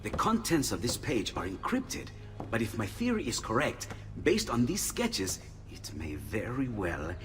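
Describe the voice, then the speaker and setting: An actor playing an excitable, friendly Renaissance painter-inventor in a video game, effusive and muttering, with an Italian accent. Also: male voice, mid-range voice, a little slow. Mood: absorbed, excited. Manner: effusive, exclaiming, muttering to himself. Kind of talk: video game cutscene acting. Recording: long reverb, music behind.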